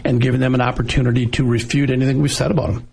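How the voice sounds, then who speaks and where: Man, radio host, gravelly and husky, earnest, preachy, studio mic. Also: mid-range voice, level tone, very fast, a little mellow. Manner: earnest, preachy, exhorting listeners.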